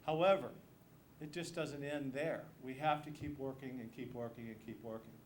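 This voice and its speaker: A man's voice: medium pitch, fairly even intonation, normal speed. Emphatic American man giving a campaign speech. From Missouri, United States. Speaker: state legislator and candidate. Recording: noisy live event room recording.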